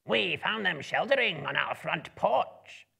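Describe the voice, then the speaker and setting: Raspy, tattling goblin henchman. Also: sits high, lively intonation, a little slow, bright, forceful tone, pitched above the performer's own voice, full character voice. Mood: accusing. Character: servile, accusing male goblin henchman.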